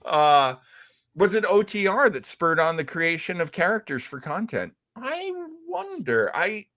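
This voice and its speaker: A man's voice: a little high, sing-song, unhurried, pushed and bright, no room sound, clean studio sound. A man hosting a casual livestream chat, talking in a chatty, jokey way. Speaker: show host.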